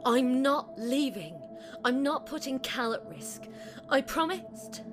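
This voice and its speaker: Defiant protective big sister played by a woman, high voice, blunt, audio drama with music underneath. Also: animated, takes it slowly. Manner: blunt, challenging. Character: defiant, protective young older sister surviving zombies.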